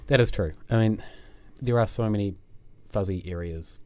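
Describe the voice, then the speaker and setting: A man's voice: lowish voice, normal speed, darkish tone, dull audio. A man with a New Zealand accent hosting a podcast, chatting casually with many fillers and restarts. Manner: chatty, self-deprecating, lots of fillers and restarts. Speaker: podcast host. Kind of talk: casual chat. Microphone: desk microphone.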